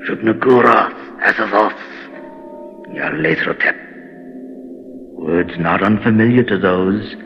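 An actor playing a brooding keeper of horror tales, narrating in a solemn, theatrical old-time radio style. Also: male voice, on the low side. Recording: dull vintage radio, long reverb, faint music.

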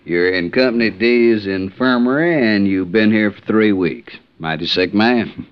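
A man's voice: on the low side, unhurried, smooth, lightly acted. An actor playing a good-natured, folksy frontier lawman with drawling phrasing in a 1950s radio western. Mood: jovial. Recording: dull vintage 1950s radio recording.

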